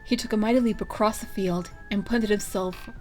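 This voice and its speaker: A woman reading a story aloud as its narrator, in an expressive scripted style. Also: mid-range voice, normal speed, faint music behind. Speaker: fan fiction reader.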